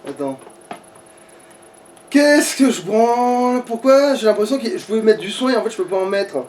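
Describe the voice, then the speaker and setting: A man's voice: high voice, expressive, moderate pace, darkish tone. A man commenting casually on his game with a friend, grumbling and swearing freely. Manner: foul-mouthed, grumbling. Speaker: let's player. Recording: distant mic, faint background noise.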